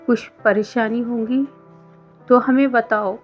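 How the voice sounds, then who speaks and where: A woman acts out a concerned middle-aged mother in a storybook tale. She advises gently in a slightly high voice. The sound is a little dull, with music playing behind her. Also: steady tone, slow. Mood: worried. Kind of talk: scripted story dialogue.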